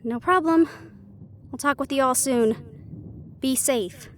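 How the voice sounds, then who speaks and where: Woman playing a high-voiced, confiding half-banshee heroine. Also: slow, background noise. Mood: businesslike. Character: young female half-banshee siren, worried, wry, secretly vulnerable. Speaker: audio roleplay voice actor. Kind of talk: intimate scripted audio roleplay. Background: background music.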